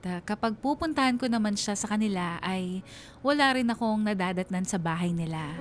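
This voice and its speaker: A woman's voice: medium pitch, lightly acted. An actress playing a wistful young woman narrating her own story in a confessional radio drama.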